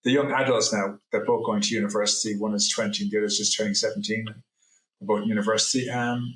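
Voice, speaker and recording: A man's voice: on the low side, steady tone, quick pace, a bit bright, reverberant, rough recording. A middle-aged man from Ireland, telling his own story as a guest on a video call, rambling and correcting himself earnestly. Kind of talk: personal testimony in an interview.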